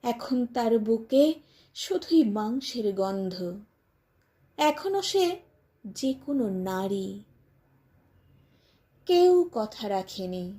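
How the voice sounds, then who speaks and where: A woman reciting a poem in a dramatic, expressive style, with deliberate phrasing and pauses. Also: on the high side. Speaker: poetry reciter.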